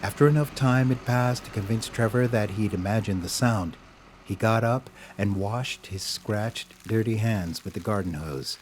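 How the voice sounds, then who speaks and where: A man's voice: lowish voice, normal speed, slight background noise. A man reading scripted story narration as the narrator of an audio drama.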